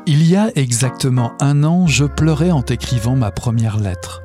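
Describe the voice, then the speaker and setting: A male radio host reads literary passages in a slow, measured, lyrical way. His voice sits at an ordinary mid pitch. Soft music plays faintly beneath him. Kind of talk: reading literary excerpts on air.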